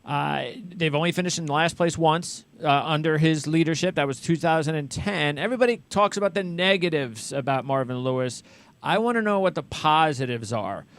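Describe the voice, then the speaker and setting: An American man with a slightly high voice hosts a sports interview show. He talks in an enthusiastic, conversational way, winding through long questions before he lands on the point. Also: normal speed, a bit bright, dry recording. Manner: wordy, rambling questions.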